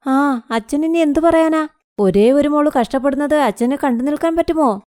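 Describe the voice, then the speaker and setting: A woman's voice: high voice, moderate pace, a little mellow, dry recording, clean studio sound, lightly acted. A woman playing a caring, protective middle-aged mother who speaks soothingly in a cartoon moral story. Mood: concerned, soothing.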